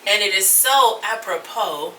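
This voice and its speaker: A woman's voice: medium pitch, unhurried, a little hiss or hum. A woman hosting a podcast, chatting casually and laughing often. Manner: laughs often, lively and playful. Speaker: podcast host. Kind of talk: casual group chat. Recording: roomy echo, mic at a distance.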